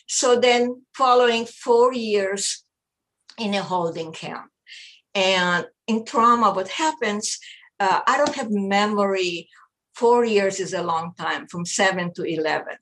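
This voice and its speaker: A woman's voice: mid-range voice, fairly bright tone. Older German-born woman recounting memories. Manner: halting, repeats words while searching. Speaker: interview guest, psychologist. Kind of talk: personal testimony in an interview.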